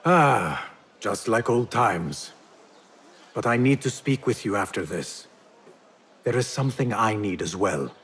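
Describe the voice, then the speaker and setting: Earnest young assassin, expressive voice. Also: male voice, lowish voice, a little slow, long pauses, husky, exaggerated voice. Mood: friendly, guarded. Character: young male former street thief turned earnest assassin. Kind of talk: video game character acting.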